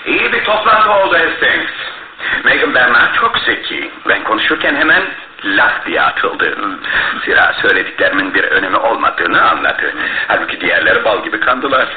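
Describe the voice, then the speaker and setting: An actor playing a shrewd, self-assured detective with a courteous, theatrical manner in a radio drama. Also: male voice, medium pitch, very animated, normal speed, close to an everyday voice. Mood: pleased, sly. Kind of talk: Turkish radio theatre acting. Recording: tinny, band-limited old radio broadcast, faint noise.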